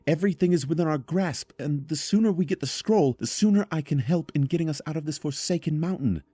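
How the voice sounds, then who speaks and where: An actor playing a solemn, courteous trapped spirit in a fantasy audio drama, speaking in a measured, formal way. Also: male voice, on the high side, expressive, mellow, breathy edge, no echo. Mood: urgent, firm.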